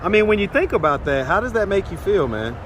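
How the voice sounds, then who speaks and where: Casual street interviewer with traffic behind. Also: male voice, sits high, sing-song, fast. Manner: direct, probing questions, casual slang. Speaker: street interviewer and channel host. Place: outdoors on a city street. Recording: street traffic noise outdoors.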